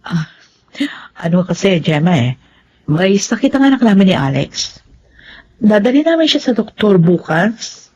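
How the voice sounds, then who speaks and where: A neglectful mother in a radio drama, self-justifying and evasive. Her middle-pitched voice comes through a dull, lo-fi recording. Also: big pitch swings, unhurried, long pauses, darkish tone, slight background noise. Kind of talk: Filipino radio drama acting.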